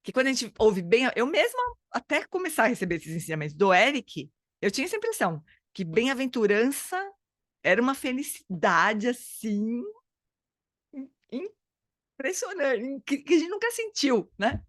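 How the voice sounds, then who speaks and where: A woman's voice: fairly high pitch, big pitch swings, moderate pace, a bit bright, dry recording, studio quality. Woman teaching, hesitant and searching. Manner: hesitant, searching for words, frequent "né?". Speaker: dharma study group teacher. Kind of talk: casual spoken teaching.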